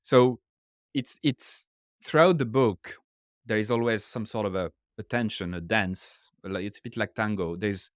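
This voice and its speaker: A man's voice: on the low side, a little slow, dry recording, clean studio sound. A man from France with a French accent, English not his first language, explaining ideas casually as a podcast guest and often checking in with "right?". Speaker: author and guest. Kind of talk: casual interview talk.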